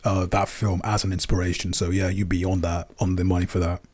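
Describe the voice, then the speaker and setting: Low-voiced man, casual podcast co-host. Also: flat delivery, brisk, a little mellow, husky. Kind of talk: casual conversational Q&A.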